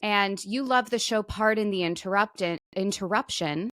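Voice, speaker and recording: A woman co-hosting a podcast, running the show in teasing, deadpan banter. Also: mid-range voice, moderate pace, dry recording, clean studio sound. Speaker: podcast co-host. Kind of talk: casual banter.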